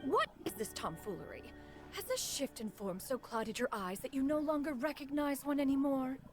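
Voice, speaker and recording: A woman's voice: high voice, lively intonation, normal speed. A woman playing a haughty, dignified immortal in a video game, speaking in a formal, archaic way. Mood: indignant. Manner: formal, haughty, archaic, calls herself "one". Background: music.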